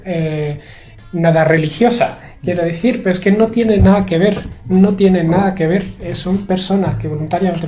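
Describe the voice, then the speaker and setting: A young man from Spain answers interview questions in a measured, hesitant way, pausing often and using fillers. His voice has a mellow, dark tone. The sound bounces around an echoey room. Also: high voice. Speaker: interview guest. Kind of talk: thoughtful conversational interview.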